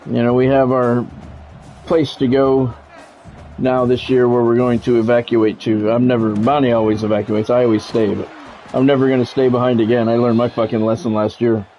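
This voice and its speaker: A man's voice: medium pitch, level tone, moderate pace, dull audio, soft background music. A middle-aged man doing live football game commentary mixed with casual chat, talking in a blunt, profane, conversational way. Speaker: game streamer and play-by-play commentator.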